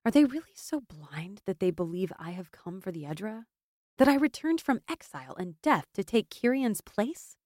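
A woman's voice: mid-range voice, big pitch swings, moderate pace, a little mellow, dry recording, clean studio sound. Woman as a proud, guarded exiled warrior noblewoman. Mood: bitter. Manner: firm, wry.

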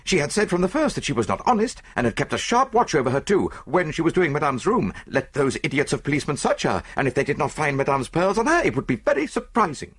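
A man voicing an excitable young lady's maid, speaking rapidly and pleadingly. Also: fairly high pitch, breathy edge, voice pushed up, heavily acted. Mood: virulent.

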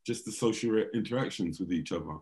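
A man's voice: lowish voice, fast, a little mellow. A man, a DJ and guest in a casual video-call chat, who speaks hesitantly and laughs easily.

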